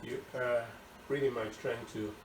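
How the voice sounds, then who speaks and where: A man's voice: medium pitch, fairly even intonation, unhurried. Man, Southern Georgia accent, chatty cooking host, echoey kitchen, mic far off, noisy. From southern Georgia, USA. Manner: chatty, repeats words for emphasis. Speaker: home cooking show host. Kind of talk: casual cooking demonstration. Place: home kitchen.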